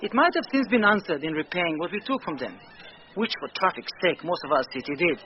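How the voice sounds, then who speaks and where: An actor playing a devoted, anxious sea captain, speaking verse earnestly in classic radio Shakespeare style. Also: male voice, high voice, very animated. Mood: guarded, generous. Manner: earnest, measured verse delivery. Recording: dull, faintly noisy old radio broadcast.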